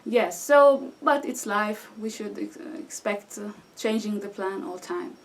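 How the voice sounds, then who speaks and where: A Syrian woman in her thirties, a guest speaking hesitant with frequent restarts and fillers. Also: mid-range voice. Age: 30s. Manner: halting non-native English with restarts and fillers. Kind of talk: conversational interview answers. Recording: distant mic with faint background noise.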